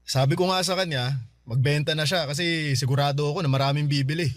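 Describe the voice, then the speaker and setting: Earnest, devoted man, slightly high voice, acted. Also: fast, dry recording, studio quality, natural voice. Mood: proud, eager. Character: Ramon, earnest, devoted man, loyal and tender. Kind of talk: Filipino radio drama acting.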